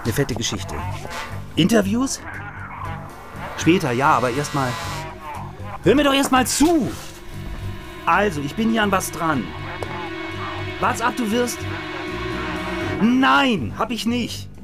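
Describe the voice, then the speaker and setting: A man's voice: high voice, very animated, slow, long pauses, over music, full character voice. A man acting in a German radio drama as a cynical, hot-tempered radio reporter in his thirties, pompous and given to coarse cursing. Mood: agitated, pleading. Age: 30s.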